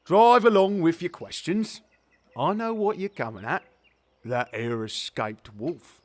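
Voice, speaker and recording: An actor playing a grumpy but genial elderly zookeeper, telling things bluntly in rambling colloquial speech for an audio drama. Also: male voice, a little high, expressive, measured pace, a little mellow. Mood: mollified, wry. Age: older. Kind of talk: audio drama character acting.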